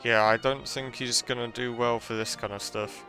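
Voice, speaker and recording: A man's voice: mid-range voice, level tone, normal speed, bright, forceful tone, soft background music. A young man giving casual, offhand commentary as he streams a game.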